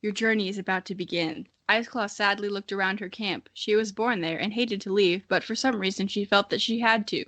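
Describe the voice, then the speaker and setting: A woman's voice: mid-range voice, steady tone, a little fast, few pauses, fairly bright tone, no echo. A young adult woman hosting a podcast, reading a childhood story dramatically with amused, self-mocking casual asides. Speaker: podcast host.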